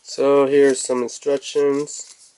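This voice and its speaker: A man's voice: mid-range voice, monotone, takes it slowly, warm tone, a little hiss or hum, rough recording. A man giving casual, off-the-cuff commentary as he reviews a toy in an unboxing video. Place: indoors at a table.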